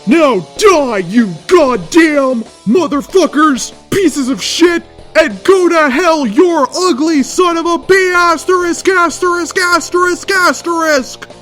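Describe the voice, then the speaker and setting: A man's voice: very high-pitched, slow, hardly any pauses, fairly bright tone, faint music behind, voice pushed up, exaggerated voice. A man playing a hot-headed, foul-mouthed warrior hero in a bombastic, over-dramatic way. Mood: furious, bombastic.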